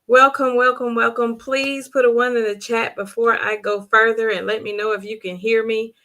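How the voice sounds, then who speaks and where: A middle-aged woman with a hoarse voice, hosting a live stream and warmly greeting viewers by name in the chat. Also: a little high, flat delivery, normal speed. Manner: bubbly, repetitive greetings. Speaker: livestream host.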